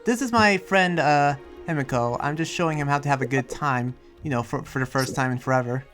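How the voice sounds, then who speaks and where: A man acts out a good-natured townsman in an improvised anime game roleplay. His voice sits a little high and he explains things earnestly, trailing into hesitations. Faint music plays behind him. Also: a bit bright, close to an everyday voice. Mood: friendly, awkward. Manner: hesitant, rambling explanations full of pauses. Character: friendly, earnest demon-sheltering townsman who senses lies.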